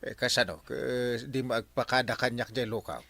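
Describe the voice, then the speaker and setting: An actor with an Ilocano accent playing a fretful, excitable village captain in a radio comedy drama, exclaiming and grumbling. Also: male voice, medium pitch, moderate pace, gravelly. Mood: worried grumbling. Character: fretful, excitable barangay captain, comically exasperated man.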